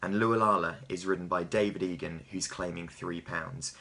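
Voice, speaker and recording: A man's voice: sits low, steady tone, moderate pace, pushed and bright. A man in his thirties giving horse racing tips to camera with an emphatic, punchy delivery. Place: small home office, indoors.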